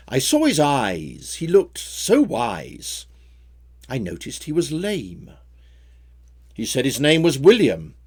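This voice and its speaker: A man reading his own story poems in a measured, theatrical way with a rhyming cadence. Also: mid-range voice, breathy edge. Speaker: poet reading own work. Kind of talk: dramatic poetry recitation.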